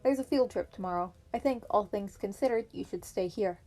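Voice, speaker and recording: A woman voicing a stern, weary male teacher who is quietly protective and speaks tersely in a story reading. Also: mid-range voice, a little fast, natural voice. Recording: piano music behind the voice.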